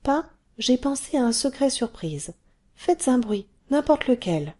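A woman voicing an excited, curious little cave girl who pleads and chatters. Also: sits high, measured pace, breathy edge, pitched above the performer's own voice, exaggerated voice. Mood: eager.

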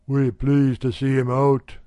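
A man voicing an easygoing working-class husband who speaks slowly in broad old dialect. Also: medium pitch, monotone, warm tone, dry recording, natural voice.

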